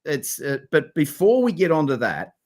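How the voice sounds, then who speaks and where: A man's voice: a little high, huge pitch swings, normal speed, a little mellow. A man with an Australian accent, co-hosting a casual live-stream chat in a friendly, upbeat way with plenty of restarts. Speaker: co-host.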